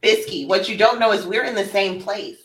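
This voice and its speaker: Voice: mid-range voice, fairly quick, a bit bright. A person chatting casually in a rambling, teasing way. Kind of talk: casual chat. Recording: roomy, echoing sound.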